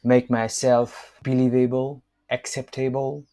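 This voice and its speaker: A man in his fifties from Nepal, whose first language is Newari, reading his own book aloud in a measured, hesitant way with frequent fillers. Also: medium pitch, flat delivery, dark tone. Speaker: author and language counselor.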